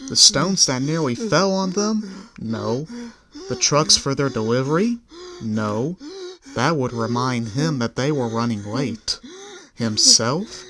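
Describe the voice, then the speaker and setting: A man's voice: mid-range voice, sing-song, takes it slowly, a crowd in the background. A man narrating a scripted children's story in the third person. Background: background music.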